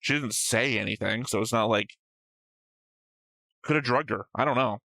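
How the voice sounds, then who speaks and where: A man's voice: mid-range voice, normal speed, vocal fry, bright, forceful tone, dry recording. A man talks through his thoughts as a reaction show co-host, in an analytical but casual way. He rambles, swears freely and reasons aloud as he goes. The sound is dry and close. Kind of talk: casual analytical chat about an anime episode.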